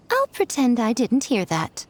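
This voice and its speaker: Sly, high-voiced, animated trickster. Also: female voice, slight background noise, exaggerated voice. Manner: smug, playful, mocking. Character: sly, teasing, self-assured woman trickster. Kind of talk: anime game voice acting.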